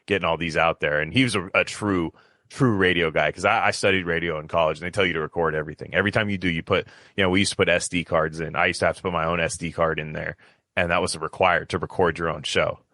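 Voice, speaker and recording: A man with a very low, bright voice talks casually on a podcast. He is enthusiastic but halting, often doubling back on his words. The sound is close and dry. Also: steady tone, dry recording. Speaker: podcast co-host.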